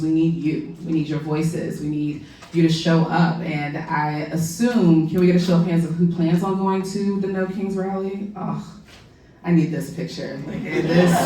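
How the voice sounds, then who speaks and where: A low-pitched woman lawyer with a loud voice addresses a community crowd, earnest and conversational, leaning on "um" and "right?". She sounds distant from the mic, in a library room with heavy echo. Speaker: policy lawyer giving a public talk. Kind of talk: public talk to a community audience. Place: public library meeting room. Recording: echoey room, mic far off.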